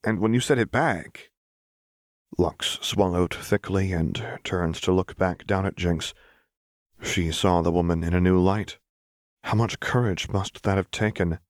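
A man's voice: deep voice, fairly even intonation, measured pace, dry recording, studio quality. A man reading scripted fiction as an audiobook narrator. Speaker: audiobook reader.

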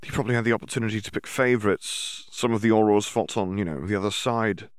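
A man voicing a quick-talking teenage wizard boy. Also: on the low side, clean tone, no room sound, studio quality, natural voice. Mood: explaining. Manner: fast, hushed, hesitant at times.

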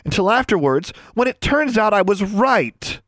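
Audiobook part: fretful, fed-up schoolgirl, read by a man, voice lifted high, sarcastic, swooping emphasis. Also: big pitch swings, fairly quick, no room sound. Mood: fuming, sarcastic. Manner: indignant, sarcastic. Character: anxious, exasperated young schoolgirl, sharply sarcastic.

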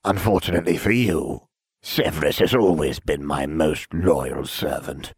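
A man voicing a grandiose, menacing dark lord in an audiobook, with slow, imperious delivery. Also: no echo, clean studio sound, heavily acted. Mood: smug, grandiose. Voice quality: husky, very low voice. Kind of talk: audiobook character voice.

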